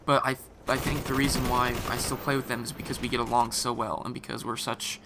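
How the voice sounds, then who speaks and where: A man's voice: medium pitch, level tone, brisk. A man with a scratchy, irritated throat giving casual, rambling voice-over commentary on a game, with lots of fillers and restarts. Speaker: gaming commentator. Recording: voice-over mixed over loud game gunfire. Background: game gunfire and explosions.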